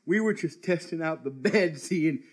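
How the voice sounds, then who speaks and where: High, amiable American small-town fellow. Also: male voice, measured pace, slightly rough. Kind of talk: comic live radio theatre acting.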